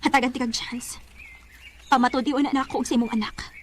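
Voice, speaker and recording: Babaye nga nag-arte og taas og tingog, mapasayloong inahan. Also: female voice, sits high, fairly even intonation, a little fast, close to an everyday voice. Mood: hurt, forgiving. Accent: Cebuano Bisaya. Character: hurt but forgiving young mother, gentle, guarded. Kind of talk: Bisaya radio drama acting. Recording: faint background noise.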